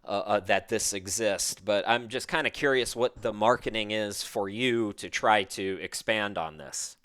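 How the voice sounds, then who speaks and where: A man's voice: lowish voice, measured pace, fairly bright tone, smooth. A man hosting a casual interview podcast, informally thinking aloud as he frames his questions.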